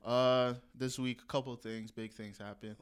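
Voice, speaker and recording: A man's voice: mid-range voice, level tone, moderate pace, clear, resonant tone. A young man co-hosting a casual podcast chat, telling stories in a loose, laid-back way that often trails off. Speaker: podcast co-host.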